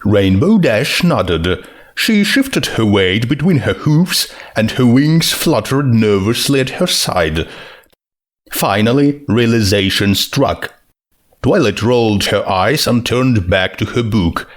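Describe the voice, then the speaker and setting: A man's voice: mid-range voice, lively intonation, slow. A man narrating a written comic story as a scripted audiobook-style reading. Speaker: narrator of fan fiction.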